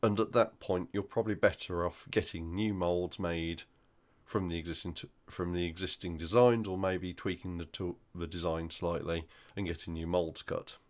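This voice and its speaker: A man with a croaky voice from flu, hosting a hobby news roundup in a casual, hesitant way with many ums. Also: sits low, fairly even intonation, normal speed. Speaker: hobby channel host.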